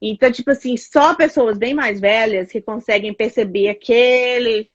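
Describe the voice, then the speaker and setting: A woman's voice: on the high side, normal speed, dry recording. Brazilian woman, raised voice, chatty storyteller, dull lo-fi call audio, dry sound. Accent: Brazilian Portuguese. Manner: chatty, animated storytelling with many fillers. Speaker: guest. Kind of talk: casual chat.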